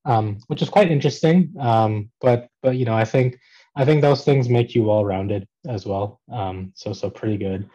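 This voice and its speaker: A man from Pakistan in his thirties, a podcast guest telling his life story in a relaxed, casual way with many ums and restarts. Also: medium pitch, moderate pace, lo-fi sound. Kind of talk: casual conversational interview.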